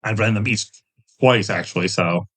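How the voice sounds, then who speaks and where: A middle-aged man chatting casually as an interview guest, talking in a rambling, halting way and often correcting himself. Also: lowish voice, a bit bright. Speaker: podcast guest, tabletop game designer.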